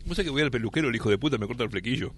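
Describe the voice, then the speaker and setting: A man's voice: medium pitch, expressive, very fast, a bit bright. An Argentine man in his fifties hosting with casual, jokey, slangy banter and repeated catchphrases. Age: 50s. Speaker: radio host.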